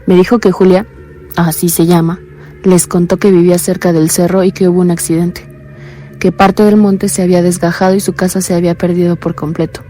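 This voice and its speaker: A woman's voice: flat delivery, brisk, dark tone, slight background noise, lightly acted. A woman playing an uneasy blind young caller. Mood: anxious. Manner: low, earnest, uneasy confiding. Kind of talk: radio drama acting.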